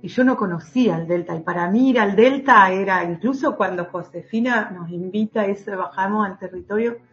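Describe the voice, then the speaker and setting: A woman's voice: medium pitch, a little fast, dull audio, soft background music. A woman from Entre Ríos, Argentina, with an Argentine accent, giving interview testimony as a lawyer. She speaks hesitantly, with many fillers and restarts. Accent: Argentine Spanish. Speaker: lawyer for human rights office.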